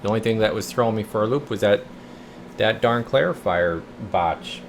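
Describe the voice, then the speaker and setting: A low-pitched man talks through repair work in a loose, folksy way. He thinks aloud and rambles informally, like a technician presenting his own video. A steady hum sits faintly behind his voice. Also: moderate pace. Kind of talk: casual rambling repair walkthrough. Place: radio repair workshop.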